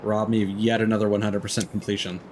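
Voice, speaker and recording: A man's voice: on the low side, flat delivery, quick pace, a little mellow, slight background noise. A man doing casual live stream commentary on a game, rambling and swearing casually.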